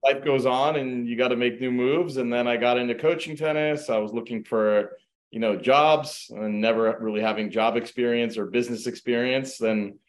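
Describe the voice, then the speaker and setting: A man's voice: mid-range voice, moderate pace. A middle-aged man raised in the United States, a real estate agent guest telling his background casually with many fillers over a video call. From Croatia, raised in the United States. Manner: relaxed storytelling, many fillers like um and you know. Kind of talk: casual interview.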